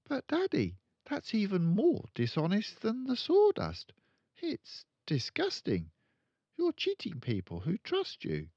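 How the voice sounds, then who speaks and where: A man's voice: sits high, wildly swooping pitch, takes it slowly, a little mellow, no echo, highs cut off, pitched above the performer's own voice, heavily acted. A man voicing a principled, indignant little girl in a children's storybook, earnest and controlled. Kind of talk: children's storybook read aloud.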